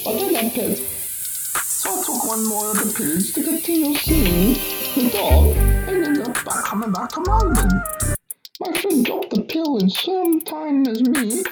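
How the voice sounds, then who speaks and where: A woman's voice: high voice, big pitch swings, normal speed, over music, pitched above the performer's own voice. A woman voicing a chatty, rambling young party-goer with an Eastern European accent, in a told story.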